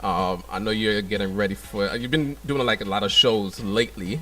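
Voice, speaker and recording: Laid-back, low-voiced radio host. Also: male voice, steady tone, brisk, bright, forceful tone, recorded from a distance. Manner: laid-back, many fillers. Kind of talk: casual radio interview. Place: radio studio.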